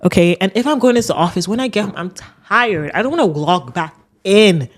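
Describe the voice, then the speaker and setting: A young adult woman with a deep voice, hosting a podcast and ranting casually with laughs. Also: lively intonation, fairly quick. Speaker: podcast host. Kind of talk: casual solo rant.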